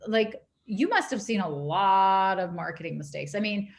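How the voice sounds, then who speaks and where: A woman hosting a podcast, reacting warmly and asking her guest questions in a casual interview. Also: mid-range voice, moderate pace, vocal fry. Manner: warm, encouraging reactions and questions. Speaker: podcast host.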